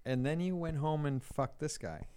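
A man's voice: fairly high pitch, normal speed, warm tone, clear, resonant tone. Blunt, teasing male podcast host. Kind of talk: casual podcast chat.

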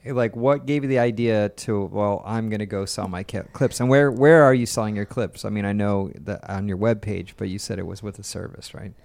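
Low gravelly male co-host. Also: steady tone, brisk, few pauses, a little mellow. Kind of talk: casual joking interview banter.

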